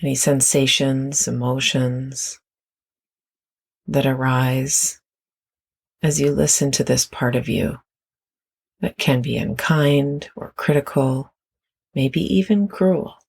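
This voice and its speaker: A meditation guide speaks very slowly and gently, leaving long pauses between phrases. The voice is husky and breathy, with a noticeable creak. The delivery is that of a guided meditation. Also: medium pitch, steady tone.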